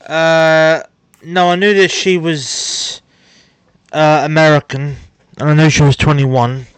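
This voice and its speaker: Clear-voiced man commenting on a game. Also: fairly high pitch, level tone, takes it slowly, no room sound. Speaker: gaming streamer. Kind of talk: casual playthrough commentary.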